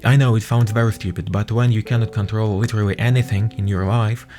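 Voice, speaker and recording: A young man with a fairly low voice hosts a personal podcast. He talks in a halting way, pausing often to search for his words, while soft music plays faintly behind him. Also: steady tone. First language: Russian. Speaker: podcast host. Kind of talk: personal reflective monologue.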